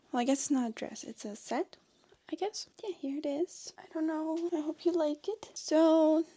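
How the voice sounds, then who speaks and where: A woman's voice: high-pitched, warm tone, no echo. A woman who is a non-native English speaker, chatting casually to viewers as a vlogger, telling things with chuckly asides. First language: not English. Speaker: book and sewing vlogger.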